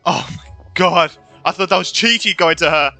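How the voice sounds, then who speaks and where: A man's voice: sits high, normal speed, sharp tone, faint music behind. A man giving casual, informal let's-play commentary, reacting and muttering as he plays a video game. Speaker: streamer playing a video game.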